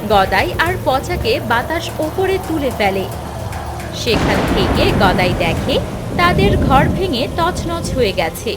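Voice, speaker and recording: A woman's voice: high-pitched, sing-song, measured pace, loud music behind the voice. A woman narrating a cartoon fairy tale in a dramatic, scripted storytelling style.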